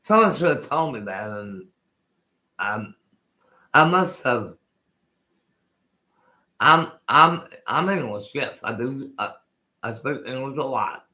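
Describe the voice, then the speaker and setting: A man's voice: mid-range voice, lively intonation, takes it slowly, pauses often, pushed and bright. Rambling older man with unclear speech. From Colorado, USA. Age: 60s. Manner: rambling, repeats himself, restarts often. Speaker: host. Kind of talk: casual live-stream chat.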